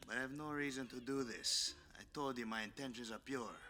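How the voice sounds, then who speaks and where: A man's voice: medium pitch, a little fast, bright, forceful tone, close to an everyday voice. A man playing a cocky, flirtatious, easily flustered masked Spanish vigilante with a Spanish accent, in a theatrical, bantering ASMR roleplay drama. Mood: bantering challenge.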